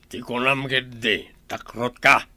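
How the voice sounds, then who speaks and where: A man's voice: medium pitch, fairly even intonation, slow, sharp tone, no echo. An actor playing a scornful, stubborn henchman who sneers at his partner in an Ilocano radio drama.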